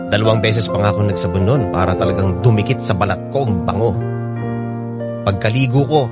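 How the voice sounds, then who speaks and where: A man's voice: lowish voice, brisk, close to an everyday voice. A man with a Filipino accent playing a chatty, playful storyteller, narrating a first-person story for radio drama. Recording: loud music under narration.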